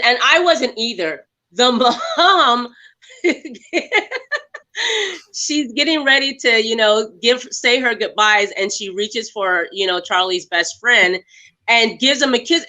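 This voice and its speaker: A woman guest on a movie podcast, retelling scenes with animated laughter. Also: fairly high pitch, a little slow, rough recording. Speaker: guest actress. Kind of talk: casual movie review chat.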